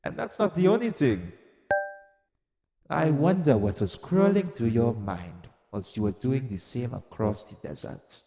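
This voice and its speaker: A man playing an exiled god of vengeance in an audio roleplay, theatrical and deliberate, coaxing and taunting with dark amusement. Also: mid-range voice, expressive, creaky. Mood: taunting, amused. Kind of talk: dramatic audio roleplay monologue to the listener. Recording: long cavernous reverb. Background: music.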